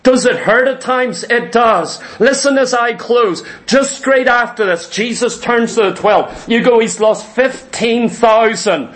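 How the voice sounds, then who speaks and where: A man's voice: extremely high pitch, unhurried, few pauses, a bit bright. A man preaching a church sermon in an exhorting way, with rhetorical questions, emphatic repetition and bits of imagined dialogue.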